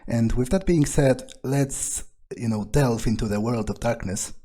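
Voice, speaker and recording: A man's voice: mid-range voice, unhurried, dark tone. A man doing in-character commentary as he plays through a video game. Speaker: let's player.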